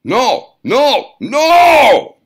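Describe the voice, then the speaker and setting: Shrill, overbearing gnome-like client. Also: male voice, wildly swooping pitch, drawn-out words, slightly rough, heavily acted. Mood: frantic, shouting. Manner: overbearing, shouting, broken foreign English. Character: squat gnome-like foreign male client, overbearing, insistent, desperate.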